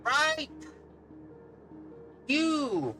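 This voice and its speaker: Slow-talking, slangy game streamer of unclear sex. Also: sits high, big pitch swings, sharp tone, faint music behind. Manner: teasing, slangy, mock-scolding. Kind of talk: casual game reaction commentary.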